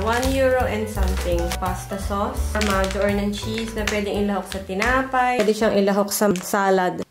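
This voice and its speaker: A Filipino-accented woman narrating a haul vlog in a casual, chatty way, listing items one by one. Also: medium pitch. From Philippines. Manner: chatty, itemizing. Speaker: vlogger. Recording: loud background music. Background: music.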